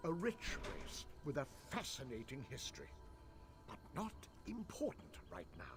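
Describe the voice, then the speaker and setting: Scholarly mage, swooping intonation. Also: male voice, a little high, sing-song, normal speed, music in the background. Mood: condescending. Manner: pedantic, musing, condescending. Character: scholarly elf mage, fascinated by spirits, impatient. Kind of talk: video game character acting.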